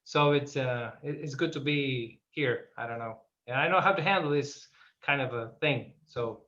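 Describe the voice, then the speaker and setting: A man's voice: medium pitch, moderate pace, fairly bright tone. A middle-aged man with an Argentinian accent, a native Spanish speaker, answering informally and hesitantly as he searches for words. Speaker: interview guest, filmmaker. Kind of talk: informal interview over video call. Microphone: video call. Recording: slightly muffled video-call audio.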